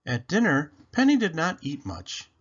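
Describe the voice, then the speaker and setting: Animated, husky man reading a children's story. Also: fairly high pitch, highs cut off. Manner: animated, deliberate storytelling delivery. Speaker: storybook read-aloud host. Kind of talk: expressive children's story narration.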